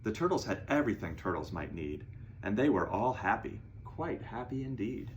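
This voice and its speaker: A man reading a rhyming children's story aloud in an expressive storytelling style. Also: lowish voice, moderate pace, slight background noise, rough recording.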